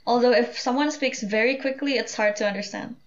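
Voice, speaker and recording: A woman's voice: a little high, a little fast, dull audio. A young woman adding brief playful remarks in a casual group chat.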